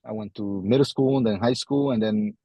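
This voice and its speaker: A man from Guatemala with a Guatemalan Spanish accent, chatting casually as an interview guest and often repeating his phrases haltingly. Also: lowish voice, dry recording, lo-fi sound. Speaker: podcast guest, tradesman.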